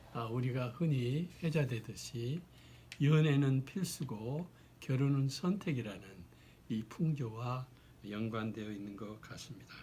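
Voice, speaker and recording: A man, hoarse from a cold, giving an explanatory talk in a formal, deliberate way with halting phrasing. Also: mid-range voice, darkish tone, slight background noise. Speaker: lecturer. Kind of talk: explanatory talk on social issues.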